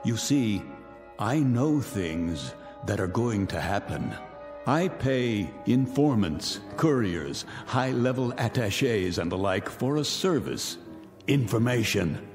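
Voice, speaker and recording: A smug doomsaying tycoon. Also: male voice, fairly low pitch, lively intonation. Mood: menacing calm. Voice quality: smooth, menacing, deliberate. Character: wealthy cynical man awaiting doom in bunker. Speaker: Mr. Raiden, bunker owner. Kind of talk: radio drama acting. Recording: long reverb with music beneath.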